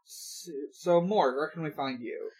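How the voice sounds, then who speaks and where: Slow-talking man hosting a podcast. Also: on the high side, a little mellow, husky, low-quality recording. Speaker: podcast host. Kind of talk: casual podcast talk, plugging shows.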